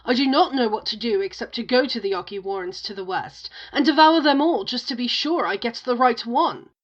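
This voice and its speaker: A woman voicing a big, gullible male oni ogre in a storybook tale, with loud, emphatic declaiming. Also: a little high, a little fast, muffled recording, natural voice. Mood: aggrieved, threatening.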